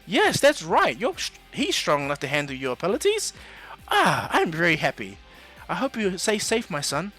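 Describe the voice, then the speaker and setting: A man's voice: sits high, wildly swooping pitch, moderate pace, sharp tone, faint music behind, pitched above the performer's own voice, full character voice. A man voicing an anxious, loving mother in an anime story, tender and exclamatory. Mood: proud, caring.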